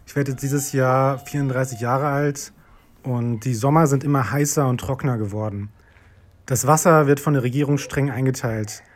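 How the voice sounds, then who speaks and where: A man's voice: medium pitch, fairly even intonation, moderate pace, lightly acted. A man in his thirties playing a farmer short of water, speaking in a plain, earnest way. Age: 30s.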